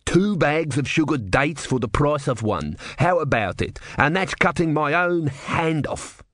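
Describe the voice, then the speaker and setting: A skinny, oily street hawker from a storybook, acted by a male narrator. He wheedles hopefully with a slightly high voice, close and dry. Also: animated, a little slow, fairly bright tone, no room sound. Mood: wheedling. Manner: wheedling sales patter.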